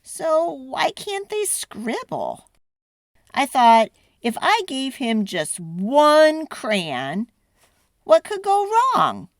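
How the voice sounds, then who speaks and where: A woman hosts a children's read-aloud in an expressive storytelling style. Her voice is a bit high and swings widely, and she takes her time with dramatic phrasing at a very unhurried pace. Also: no room sound, studio quality. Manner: animated, deliberate, dramatic phrasing.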